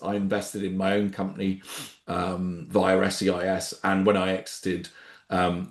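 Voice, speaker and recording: Man, stuffy head cold, nasal, low voice, loose rambling host, echoey room. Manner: relaxed, rambling, frequent ums and restarts. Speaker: webinar host, startup founder. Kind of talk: casual webinar presentation. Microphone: video call.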